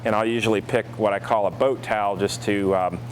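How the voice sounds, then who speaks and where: Low-voiced man over noticeable background hum. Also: fairly even intonation, a little fast. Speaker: boat store staff presenting products. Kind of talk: casual product review.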